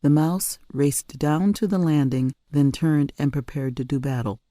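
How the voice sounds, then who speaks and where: A woman narrating a story in an expressive audiobook reading style. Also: sits low, a little slow, dry recording, clean studio sound. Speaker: author or reader narrating a story.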